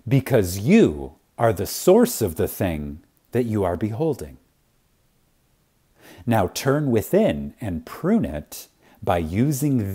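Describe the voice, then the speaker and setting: A man reading a spiritual lecture like a sermon, reverent and emphatic, with deliberate pauses for effect. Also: on the low side, mellow, slightly rough.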